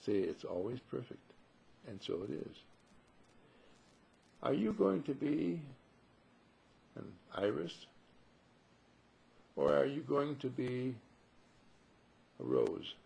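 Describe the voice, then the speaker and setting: A man gives a spiritual talk in a very husky, gravelly voice with a mellow, dark tone. He teaches through rhetorical questions and leans on repeated phrases to drive each point home. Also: mid-range voice, sing-song, slow pace, pauses often. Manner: rhetorical questions, emphatic repetition.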